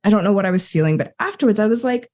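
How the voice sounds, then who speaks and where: A woman's voice: medium pitch, lively intonation, rapid-fire, darkish tone. A woman guest on a podcast, an Enneagram coach, chatting casually and acting out her own quoted thoughts. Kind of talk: casual conversational storytelling.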